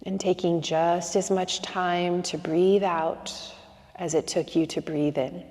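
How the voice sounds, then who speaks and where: Calm woman breath coach, slightly low voice, soothing and unhurried, reverberant space. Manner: slow, soothing, calm instructions with long pauses. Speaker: breathwork instructor. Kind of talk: guided breathing meditation.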